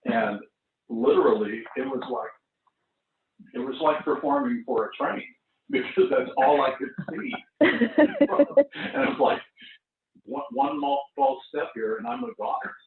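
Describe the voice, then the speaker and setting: A man's voice: on the high side, lively intonation, unhurried, vocal fry, crowd noise. A man in his seventies, an actor casually reminiscing in an anecdotal, chuckling storytelling way. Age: 70s. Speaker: actor recalling a stage show. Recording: roomy, distant, tinny phone-like call audio.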